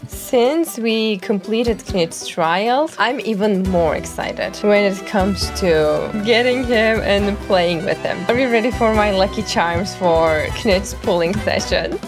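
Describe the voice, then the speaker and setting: A young woman giving casual, playful and excitable commentary as she streams a video game. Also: mid-range voice, slow pace, hardly any pauses. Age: young adult. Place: small indoor home room. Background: game music.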